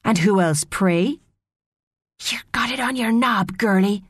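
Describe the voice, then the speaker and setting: A woman's voice: medium pitch, normal speed, no echo, clean studio sound, natural voice. A woman voicing a blunt, brash mermaid in a storybook, full of salty sailor slang. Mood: scornful.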